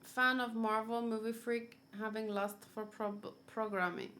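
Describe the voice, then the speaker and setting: A woman's voice: fairly high pitch, flat delivery, a little slow, fairly bright tone. A woman streaming as she reacts to a game, chatting casually and rambling with lots of fillers.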